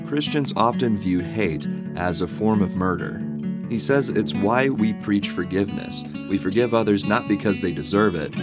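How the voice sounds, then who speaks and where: A man reading a reflective, confessional personal video essay as a scripted narration. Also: fairly low pitch. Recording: guitar music behind the voice. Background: guitar music.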